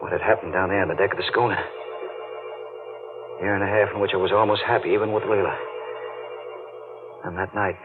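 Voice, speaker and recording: An American man playing a brooding, uneasy deep-sea diver in a 1940s radio drama, halting and tense with slangy tough talk, on an old recording. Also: fairly even intonation, music in the background, close to an everyday voice. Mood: distracted, uneasy. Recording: tinny, noisy old-time radio recording.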